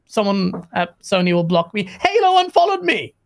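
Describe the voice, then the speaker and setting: A man's voice: sits high, big pitch swings, normal speed, creaky. A man co-hosting a casual podcast, joking and playfully combative. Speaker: podcast co-host.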